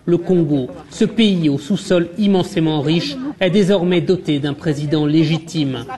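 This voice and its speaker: A man narrating a scripted news report in an even, measured way. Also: a little high.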